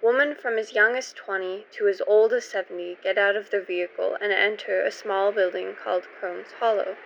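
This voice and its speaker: A woman of medium pitch narrates scripted radio theater as its host. She is theatrical and suspenseful, and the recording is slightly dull and band-limited. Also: fairly even intonation, moderate pace, vocal fry, slight background noise.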